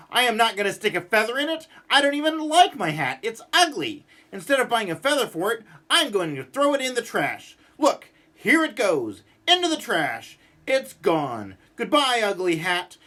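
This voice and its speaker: A man putting on a cranky, ranting storybook grump, high-pitched. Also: very animated, moderate pace, sharp tone, full character voice. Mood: indignant. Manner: exasperated, ranting, emphatic. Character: cranky, grumbling, stubborn man who hates everything. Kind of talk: animated storybook read-aloud.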